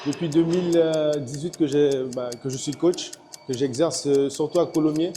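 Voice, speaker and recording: A man's voice: a little high, normal speed, dark tone, slight background noise. A man in his thirties from French Guiana, a personal trainer chatting casually in a large gym, hesitant with many fillers. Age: 30s. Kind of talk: casual chat.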